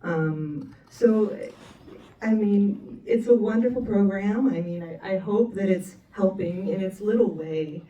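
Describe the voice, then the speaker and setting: A woman speaking as a panelist in a halting, thoughtful, conversational way about her work. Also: medium pitch, mellow, highs cut off. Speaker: film program organizer, panelist. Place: panel event room with ambient noise. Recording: roomy distant mic, faint noise.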